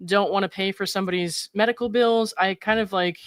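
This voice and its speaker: A young woman from Florida, a guest on a video call, laid-back and wry, with a deeper voice. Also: fairly even intonation, a little fast, no echo, studio quality. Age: young adult. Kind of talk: casual interview chat.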